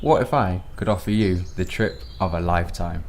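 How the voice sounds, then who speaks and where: A man's voice: low voice, normal speed, darkish tone, a little hiss or hum, lightly acted. A man acting in a radio drama as a pushy, over-familiar drug dealer with cajoling sales patter. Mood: cajoling, matey.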